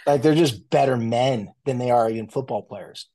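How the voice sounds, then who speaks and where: A man's voice: mid-range voice, moderate pace, dark tone. A man in his forties, a therapist, talking loosely in a casual interview with many fillers and restarts. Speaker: guest, family and marriage therapist.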